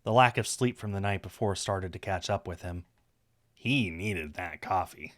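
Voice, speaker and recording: A man's voice: sits low, moderate pace, a bit bright. A man reading a visual novel aloud on a stream, narrating the story with casual asides.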